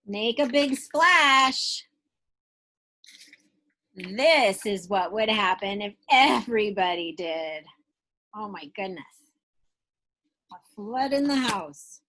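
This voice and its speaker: A woman's voice: mid-range voice, expressive, takes it slowly, long pauses, sharp tone, rough recording. A woman teacher reading a picture book aloud to young children, stretching out phrases expressively and putting questions straight to her listeners.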